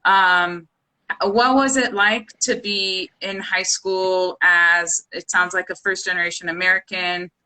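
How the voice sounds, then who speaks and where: Bright young woman host. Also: lowish voice, fairly even intonation, unhurried, low-quality recording. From Los Angeles. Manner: friendly, hesitant with fillers. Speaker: interview host. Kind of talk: casual hosted interview.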